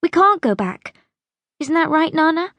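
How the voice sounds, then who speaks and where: A woman's voice: sits high, very animated, unhurried, a bit bright, dry recording, voice pushed up, heavily acted. A woman voicing a bitter, insistent young girl in an audiobook story, pleading and accusing with emphasis. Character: bitter, demanding young girl pleading with her great-grandmother.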